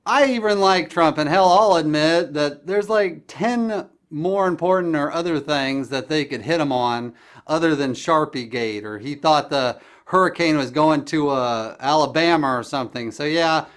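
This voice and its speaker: Man with a clear, slightly high voice. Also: fairly bright tone. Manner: blunt, opinionated, dismissive. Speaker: podcast host discussing current events. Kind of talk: casual political chat over the phone.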